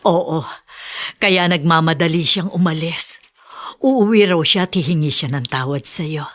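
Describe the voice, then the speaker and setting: A woman playing a gentle middle-aged mother in a Filipino radio drama, soothing and motherly. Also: low voice, measured pace. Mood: reassuring.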